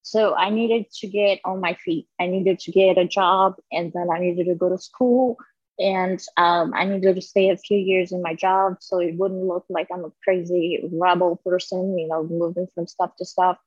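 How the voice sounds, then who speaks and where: A woman guest answering interview questions in a playful, deadpan way with many fillers. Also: on the low side, moderate pace, no room sound, lo-fi sound.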